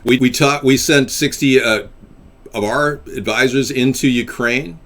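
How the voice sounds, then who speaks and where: A male host with a gravelly, bright, cutting voice runs a casual call-in web show. He rambles, gets crude, and keeps calling people buddy. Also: medium pitch, measured pace. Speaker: host and presidential candidate.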